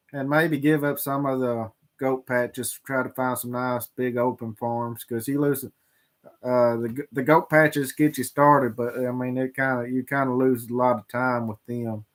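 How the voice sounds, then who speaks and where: A farmer talks in a relaxed drawl, his voice at an ordinary pitch. He reads viewers' questions aloud and pauses often with fillers in easy conversation. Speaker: farmer co-hosting a livestream. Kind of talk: casual chat reading viewer questions.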